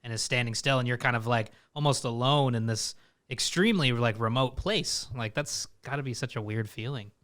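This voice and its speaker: A man's voice: mid-range voice, a little fast, a bit bright. A man hosting a casual fishing podcast, reacting enthusiastically and asking questions. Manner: enthusiastic, frequent "amazing" reactions. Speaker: podcast host. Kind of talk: casual chat.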